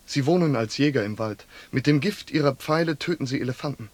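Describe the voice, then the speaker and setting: An actor in a German radio drama playing a local informant, explaining in simple, short declarative sentences. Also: male voice, mid-range voice, a little fast, darkish tone, lightly acted. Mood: explanatory. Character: local informant telling of forest dwarfs and poison arrows.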